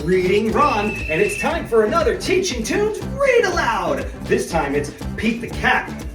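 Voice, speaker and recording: A man hosting a read-aloud for young children, reading a storybook in an expressive, deliberate way with sing-song emphasis. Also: extremely high pitch, over music. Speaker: children's read-aloud host, teacher and entertainer.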